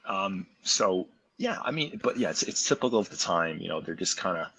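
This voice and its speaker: A man talks casually as a panelist. His voice is low with a bright, forceful edge, and his manner is wry and matter-of-fact. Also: big pitch swings, moderate pace, breathy edge, dry recording, poor audio. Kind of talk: casual discussion.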